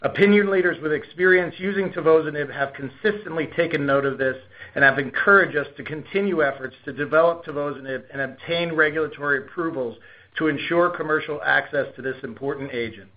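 A man's voice: fairly high pitch, a little fast. A man giving a formal scripted business presentation as a company executive on an earnings call. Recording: dull, lo-fi conference call audio.

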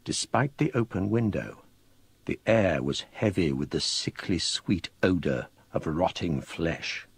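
An actor playing a scholarly gentleman narrating his ghost story in measured, grave, literary style. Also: low voice, expressive, vocal fry, breathy edge, dry recording, exaggerated voice. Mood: grave, haunted. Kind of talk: dramatic scripted narration.

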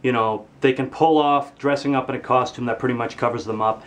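A man's voice: steady tone, brisk. A teenage boy ranting to his webcam in his bedroom, with comedic, exaggerated anger and lots of swearing. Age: teens. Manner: exaggerated angry ranting, swearing, pitch jumping high when outraged. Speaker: vlogger. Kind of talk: comedic rant to camera. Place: bedroom, indoors.

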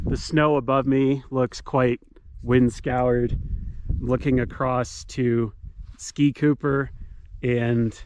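A middle-aged man talking to a camera held at arm's length, giving plain, matter-of-fact commentary on his backcountry ski tour outdoors in the mountains. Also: mid-range voice. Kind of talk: casual narration to a handheld camera. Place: outdoors on snowy mountain slopes. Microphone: action camera held at arm's length.

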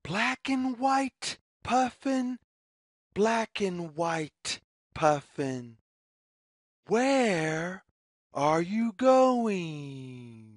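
A storyteller reading a children's read-along story in deliberate, sing-song phrases with pauses. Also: medium pitch, very animated, no echo, studio quality. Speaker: read-aloud narrator.

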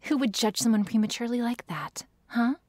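A woman playing a prickly, teasing vampire girl who feigns indifference, speaking softly in an intimate ASMR roleplay. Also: on the high side, brisk, slight background noise, natural voice. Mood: teasing, sarcastic. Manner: sardonic, hesitant, feigning indifference.

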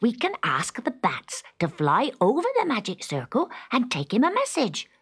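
A woman puts on a hopeful little wooden horse for a fairy tale. He is excitable and eager, higher in pitch and slightly husky. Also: normal speed, no room sound, clean studio sound, exaggerated voice.

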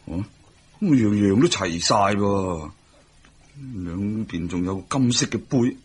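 A man's voice: sits low, expressive, slow, slightly rough, full character voice. An actor playing a genial elderly father-in-law in a Hong Kong Cantonese radio drama. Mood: delighted. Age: older.

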